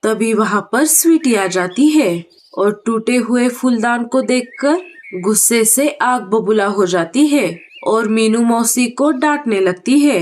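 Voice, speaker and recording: A woman's voice: on the high side, slow pace, darkish tone. A woman narrator reading a scripted children's cartoon story.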